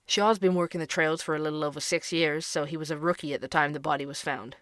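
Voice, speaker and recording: A man's voice: on the high side, steady tone, fast talker, a bit bright, no echo, clean studio sound, close to an everyday voice. An actor playing a curious male investigative podcaster, who narrates and probes with questions. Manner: inquisitive, probing.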